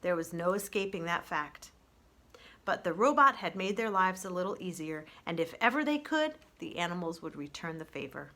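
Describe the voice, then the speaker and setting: A woman reading children's storybook narration aloud with deliberate, expressive phrasing. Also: fairly low pitch.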